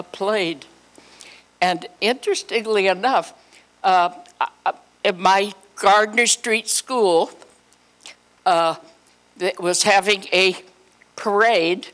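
An elderly woman reminisces as a guest speaker before a live audience. She talks slowly in a medium pitch, chatty and anecdotal, voicing the dialogue she quotes into a handheld microphone. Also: fairly bright tone. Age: 80s or older. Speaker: guest speaker, former child actress. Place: hotel meeting room, indoors.

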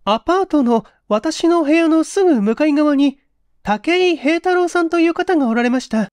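Casting: actress as distressed young working woman, very high voice, formal and hesitant, dry studio sound, mystery audiobook. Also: animated, normal speed, darkish tone, no echo. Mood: earnest recounting. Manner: formal, deferential, hesitant speech. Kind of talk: dramatized audiobook reading.

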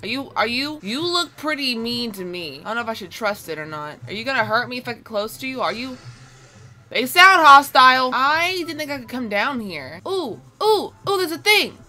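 A woman's voice: fairly high pitch, moderate pace. A woman in her twenties giving casual, playful let's play commentary, talking to herself with slangy exclamations. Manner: animated self-talk, playful exclamations and slang. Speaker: gaming video host. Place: small room indoors at home. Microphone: separate mic with phone as backup.

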